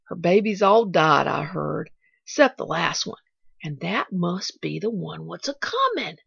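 A woman reading the part of a gentle, sentimental old male gardener with a rustic country dialect, on a dull lo-fi recording. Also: on the low side, very animated, gravelly, breathy edge, no echo, heavily acted. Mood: wondering. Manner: rustic dialect, slow and wondering.

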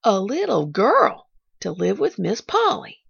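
A woman's voice: mid-range voice, wildly swooping pitch, a little slow, gravelly, a bit bright, breathy edge, no room sound, heavily acted. A woman reading the part of a feisty, resentful housemaid who talks in plain country grammar, on a dull lo-fi recording. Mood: scornful. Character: feisty young maid, resentful and blunt.

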